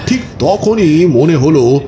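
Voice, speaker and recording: A man playing a village storyteller recounting his own eerie encounters, deliberate and suspenseful, in an audio horror drama. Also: a little high, expressive, background noise. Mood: ominous, suspenseful. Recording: music bed under the voice. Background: music.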